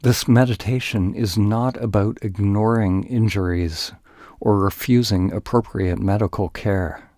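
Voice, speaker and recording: A man narrating a guided meditation in a calm, gentle, deliberate way, leaving pauses between phrases. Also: lowish voice, dry recording, clean studio sound.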